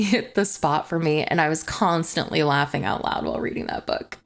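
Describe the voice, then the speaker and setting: A young adult woman chatting as a book vlogger, gushing and confessional in manner. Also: lowish voice. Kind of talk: chatty book review to camera. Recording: music playing behind the voice. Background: background music.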